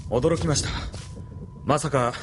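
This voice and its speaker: A man's voice: mid-range voice, measured pace, noisy background, lightly acted. A man playing an ambitious, domineering young officer in an anime audio drama. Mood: formal. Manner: commanding, domineering. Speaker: military officer.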